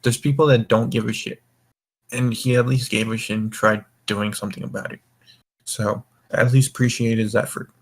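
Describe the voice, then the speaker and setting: A man's voice: medium pitch, little pitch movement, normal speed. A drunk young man hosting a casual group chat, rambling and swearing as he goes. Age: young adult. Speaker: voice call host.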